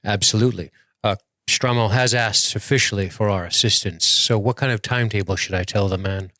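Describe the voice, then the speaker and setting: Man playing a low-voiced, commanding starship officer. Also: fairly quick, sharp tone, no echo. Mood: measured, decisive. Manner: formal, authoritative. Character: commanding male military starship senior officer, measured and decisive.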